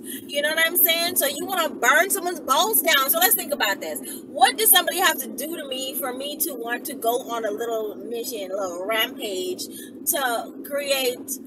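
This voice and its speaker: Animated woman vlogging, loud noise behind her. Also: high-pitched. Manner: animated, slangy, rambling. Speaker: video channel host. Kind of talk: casual chatty monologue to viewers. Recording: distant mic, loud vehicle-like background noise.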